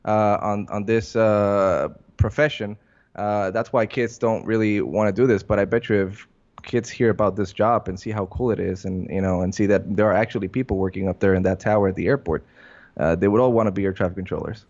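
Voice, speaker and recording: A man with a lowish voice gives unscripted interview answers. He talks haltingly, feeling his way through sentences with many fillers. The sound is somewhat dull and muffled. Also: fairly even intonation, a little mellow. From Puerto Rico. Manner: halting, hesitant, filler-heavy phrasing. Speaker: interview guest, air traffic controller. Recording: muffled lo-fi recording.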